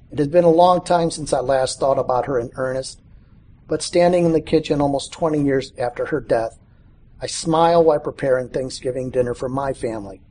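A middle-aged man reading his own personal story aloud in a measured way, now and then stumbling over a word. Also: mid-range voice, dark tone, slightly rough.

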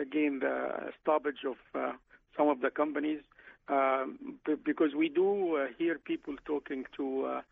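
Man from egypt calling in, gravelly, hesitant, tinny phone sound. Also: on the high side, no room sound. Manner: halting, many "uh" fillers and restarts. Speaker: guest economics professor. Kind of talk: phone-in radio interview.

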